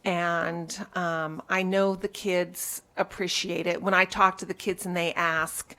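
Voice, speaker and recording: Woman trustee, effusive and warm thanks, slow delivery, lower pitch. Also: little pitch movement, poor audio. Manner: effusive and heartfelt, loud voice. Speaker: school district trustee. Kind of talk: thank-you remarks at a meeting.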